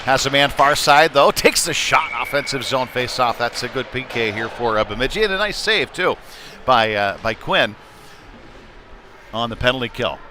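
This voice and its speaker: A man doing live play-by-play hockey commentary in a halting, conversational way, with frequent uhs. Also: medium pitch, expressive, sharp tone. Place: indoor ice rink. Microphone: wired broadcast microphone. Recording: clear rink noise behind the voice.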